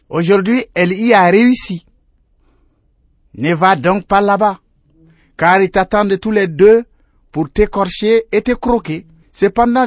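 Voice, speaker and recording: An experienced old woman from a folktale, acted by a man. Her voice is pushed high and recorded dry. She cautions urgently and protectively. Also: no echo.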